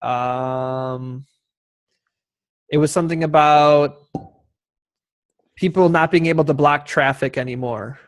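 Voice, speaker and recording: A man's voice: a little high, steady tone, takes it slowly, pauses often. A man moderating an online town hall informally, passing questions along to the panelists.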